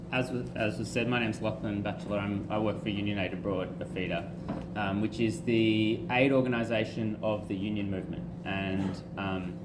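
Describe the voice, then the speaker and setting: A man speaking at a campus rally, halting with many ums and restarts. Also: lowish voice. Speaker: rally speaker, aid worker. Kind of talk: public speech at a campus rally. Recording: echoey outdoor rally with noise.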